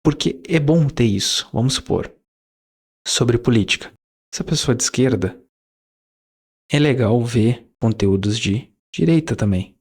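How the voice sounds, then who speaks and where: A man's voice: mid-range voice, expressive, unhurried, darkish tone, breathy edge. A man, talking casually to his viewers in a reflective monologue, rambling with fillers and self-corrections. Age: 20s. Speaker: YouTuber.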